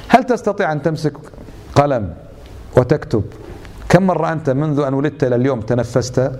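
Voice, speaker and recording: Man, religious teacher, rhetorical questions, dialect mixed with formal speech, slightly high voice. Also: darkish tone. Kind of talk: religious lesson to a gathered audience.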